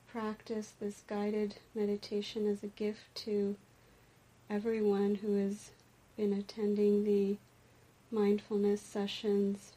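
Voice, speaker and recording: A woman teaching a guided meditation, speaking deliberately in short phrases with pauses between them. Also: medium pitch, warm tone, rough recording. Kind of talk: guided meditation and dharma talk.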